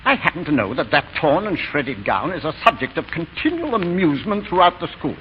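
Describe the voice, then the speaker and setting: An actor playing a stern, officious English headmaster in a 1930s radio play, speaking formally and pompously. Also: male voice, on the high side, sing-song, a little fast. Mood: stern, exasperated. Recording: dull, noisy 1930s radio broadcast.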